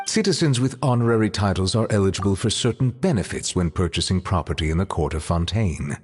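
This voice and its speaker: A deep-voiced gentleman of authority for a video game. He speaks formally and courteously, with a dry, measured composure. The voice rings in a long reverb. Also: lively intonation, husky. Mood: composed, advising.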